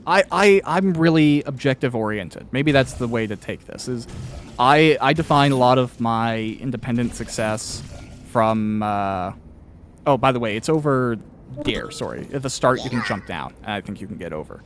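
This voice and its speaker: A man speaks in a casual interview style at an average pitch. He rambles a little, candid and reflective about himself, and faint noise sits behind his voice. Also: expressive, moderate pace. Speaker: author and interviewer.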